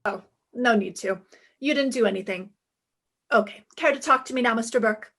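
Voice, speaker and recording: A woman's voice: fairly high pitch, moderate pace, vocal fry, a bit bright. An actress playing a witty, flirtatious, self-assured female private eye in a 1950s-style radio detective play, with breezy, wisecracking delivery. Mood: brisk, playful. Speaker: lead actress and first-person narrator.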